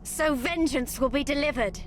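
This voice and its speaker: High-voiced, sardonic living statue woman voiced by an actress. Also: moderate pace, a little hiss or hum, natural voice. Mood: bitter. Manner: sarcastic, cutting. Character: bitter, sardonic immortal sister bound as a statue. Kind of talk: video game character acting.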